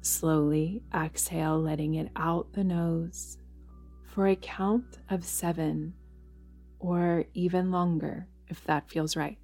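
Slow, low-voiced woman guiding meditation. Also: fairly even intonation. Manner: gentle, unhurried, soothing instruction with long pauses. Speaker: meditation guide. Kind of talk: guided yoga nidra meditation. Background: background music.

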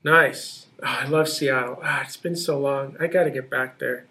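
A man's voice: medium pitch, fairly even intonation, moderate pace, a crowd in the background. A man hosting a podcast who asks questions eagerly and often repeats words as he starts his sentences. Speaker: podcast host. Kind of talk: casual interview hosting.

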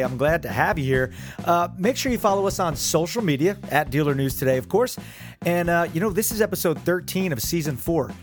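Higher-pitched male podcast host. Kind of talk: casual interview chat.

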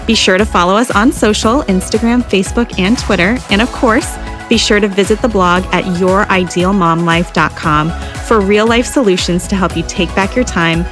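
A woman's voice: medium pitch, normal speed, music in the background. A woman hosting a parenting podcast, bubbly and enthusiastic, with a soothing voice. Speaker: podcast host.

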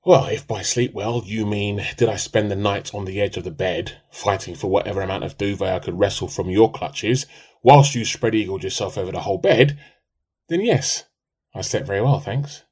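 A man's voice: on the low side, moderate pace, darkish tone, breathy edge. A man playing a grumbling, drily sarcastic husband at breakfast in a radio play. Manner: dry, wordy sarcasm.

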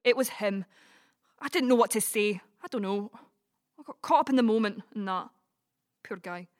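A woman with a Scottish Dumfries accent acting in an audio play as a brash, gossipy, blunt friend with a soft side. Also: medium pitch, normal speed, lightly acted. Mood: reluctant, frank. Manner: chatty, blunt, sweary. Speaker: community cast actor. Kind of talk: community audio drama, colloquial dialogue.

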